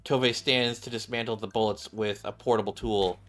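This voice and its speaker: A man's voice: fairly low pitch, steady tone, brisk, fairly bright tone. A man streaming a game, reading its story text aloud and often stumbling and rereading lines. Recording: game music behind the voice. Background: game music.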